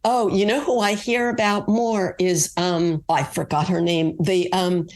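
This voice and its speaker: A woman's voice: on the low side, steady tone, measured pace, darkish tone. A woman from Long Island, a guest author who explains things step by step in casual interview talk, with hesitant fillers. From Long Island, New York.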